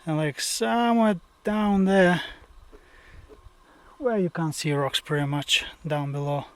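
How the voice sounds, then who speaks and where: Young male hiker vlogger, native Bulgarian speaker; unhurried, filler-heavy talk outdoors on a mountain trail. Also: a little high, clean tone, no room sound. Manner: slow, hesitant, many fillers. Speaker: hiking vlogger. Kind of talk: casual trail vlog.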